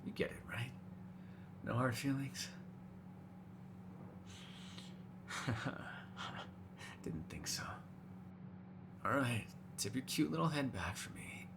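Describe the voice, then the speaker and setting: A man's voice: mid-range voice, sing-song, moderate pace, long pauses, noisy background. A man voicing a hungry, cranky, flirtatious male vampire in an ASMR audio roleplay, sarcastic and given to swearing. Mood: seductive, predatory. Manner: sarcastic, swearing, moody, shifting from murmurs to exasperated outbursts.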